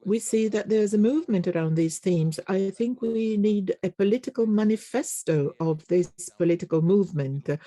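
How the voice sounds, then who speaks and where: A conference interpreter giving a simultaneous English rendering of a panel discussion, halting often with fillers and pauses. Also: mid-range voice, mellow, dry recording.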